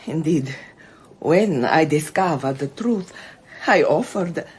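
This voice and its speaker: Low, husky haunted countess. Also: female voice, expressive, a little mellow, heavily acted. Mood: confessional. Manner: intense, theatrical, halting. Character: haunted, imperious European countess, guilt-ridden and intense. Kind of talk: radio drama acting.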